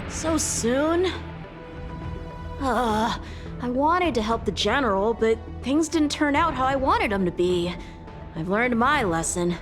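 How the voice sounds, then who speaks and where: A woman's voice: on the high side, fairly bright tone, exaggerated voice. A woman voicing an earnest, eager young warrior in a fantasy game, polite but informal and candid. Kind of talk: scripted game voice acting. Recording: background music.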